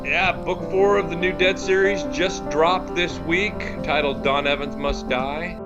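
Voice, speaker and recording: A man's voice: fairly high pitch, measured pace, highs cut off. A man talking casually on a podcast as an author and panelist. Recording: guitar music over the voice. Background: guitar music.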